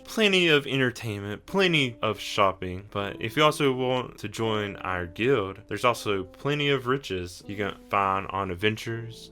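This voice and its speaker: A man voicing a sly, self-assured fox-like creature who heads a treasure guild, with a salesman-like pitch, in a tabletop roleplay. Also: bright, forceful tone.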